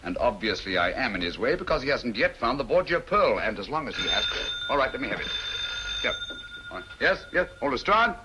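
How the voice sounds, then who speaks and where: A man playing a shrewd British gentleman detective in a 1940s radio mystery, with a brisk, incisive, authoritative delivery. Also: mid-range voice, very animated, muffled recording. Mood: urgent, commanding. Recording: slightly noisy old radio recording.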